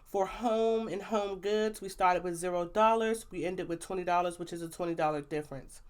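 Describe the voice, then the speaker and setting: A young woman with a loud, upbeat voice, chatting casually through a budgeting update on her channel, with slangy asides. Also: on the low side, moderate pace. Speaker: budgeting vlogger. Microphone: plug-in microphone.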